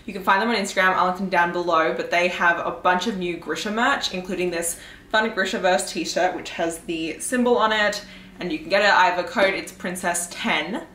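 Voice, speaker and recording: A woman's voice: fairly low pitch, moderate pace, sharp tone, a little hiss or hum. Young woman chatting casually, echoey room. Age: young adult. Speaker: book vlogger. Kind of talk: casual book discussion chat.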